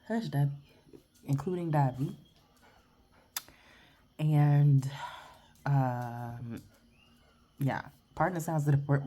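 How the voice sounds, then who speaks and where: A woman's voice: extremely low pitch, mellow. A woman tarot reader, blunt and chatty, giving a rambling casual reading outdoors while congested and sniffly. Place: outdoors in the early morning.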